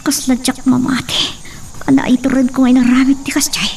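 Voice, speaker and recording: A very high-voiced young woman in a radio-style drama played by an actress, anxious and determined, breaking into breathless exclamations. The recording is noisy, with clicks behind her. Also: level tone, normal speed, creaky.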